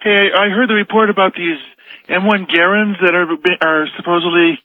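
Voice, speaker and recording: A man's voice: high-pitched, steady tone, a little fast, no room sound. A man from Alabama chatting casually as a caller on a radio phone-in, over a telephone line. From Alabama, United States. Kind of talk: casual radio call chat.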